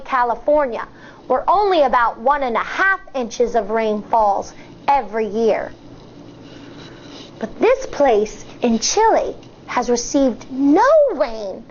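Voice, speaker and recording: A woman, an elementary school teacher, reading a nonfiction picture book aloud to young children in a deliberate, explanatory way. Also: a little high, slight background noise. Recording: dull, muffled lo-fi sound.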